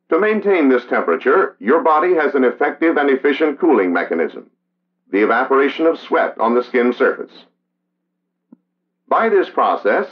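Music plays behind a dull vintage film soundtrack. An American man narrates in a mid-pitched voice with strongly varied, emphatic intonation. His delivery is measured and solemn, like an old instructional documentary. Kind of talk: scripted 1960s documentary narration.

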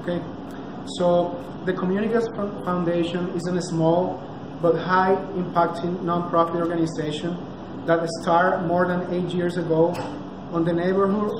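A man in his thirties from Venezuela, with a Venezuelan Spanish accent, reading a prepared speech in a small cafe with careful, halting delivery. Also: sits high, level tone. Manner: careful, halting, reads from a clipboard, frequent uh and restarts. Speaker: nonprofit founder giving a launch speech. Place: small cafe with big street windows, indoors. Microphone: far camera. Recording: echoey room with noise.

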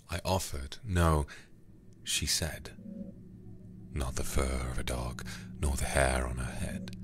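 A man reading a horror game aloud in ASMR style, hushed, breathy and deliberate, close to the microphone. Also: rumbling low, noisy background. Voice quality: breathy, whispery. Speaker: livestreamer playing a horror game.

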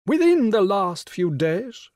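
A man's voice: sing-song, darkish tone, no echo, studio quality, exaggerated voice. A man voicing an imperious royal older woman on the phone, rich and emphatic, dwelling on words. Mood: urgent. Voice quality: rich, emphatic, high put-on voice. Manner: grand, insistent, drawn-out words. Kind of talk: audiobook character voice.

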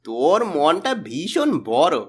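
A man playing a sly, greedy, boastful village swindler in a cartoon moral story, with an animated, coaxing manner. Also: on the high side, slow pace, husky, heavily acted. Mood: coaxing flattery. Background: background music.

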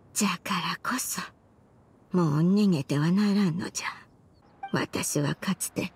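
A woman's voice: mid-range voice, a little mellow, breathy edge. An actress playing a remorseful old grandmother in a Japanese game, confessing her past in an archaic elderly way of speaking. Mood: tender, grave. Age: older. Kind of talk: Japanese game voice acting, dramatic monologue.

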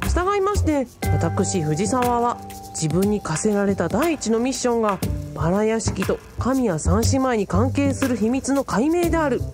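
Woman as grandly formal young man, high-pitched. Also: big pitch swings, moderate pace, music in the background. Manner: pompous, very polite, theatrical. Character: earnest young male part-timer, grandly theatrical sleuth. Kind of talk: radio drama, formal old-fashioned storytelling.

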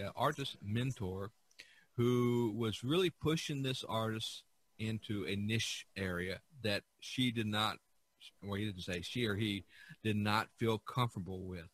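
Bright-voiced male podcast host, low pitch. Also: slight background noise. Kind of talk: casual podcast discussion.

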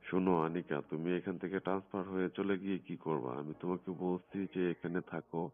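Low-voiced coaxing man, muffled phone recording. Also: fairly even intonation, fast. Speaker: phone caller in a recorded conversation. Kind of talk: private phone conversation.

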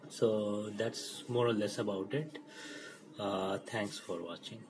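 A man giving an informal hands-on electronics demonstration in a hesitant, halting way. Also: on the low side, steady tone, noisy background. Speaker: presenter of an electronics demo.

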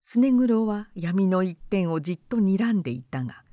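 A woman narrator reading literary fiction aloud in a measured, composed manner. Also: mid-range voice, lively intonation, warm tone, no echo, studio quality.

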